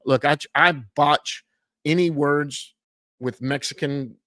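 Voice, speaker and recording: A man's voice: a little high, fairly even intonation, slow pace, sharp tone, dry recording. A man hosting a podcast, recapping minor league baseball in a casual, folksy way, full of fillers and stumbles over players' names. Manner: self-deprecating, folksy.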